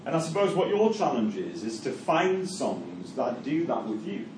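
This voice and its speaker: A man's voice: medium pitch, big pitch swings, moderate pace. Geordie man lecturing in an echoey room. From Newcastle, England. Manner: hesitant, repeats words, many fillers. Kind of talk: university lecture with class discussion. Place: classroom. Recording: echoey room from far off, faint noise.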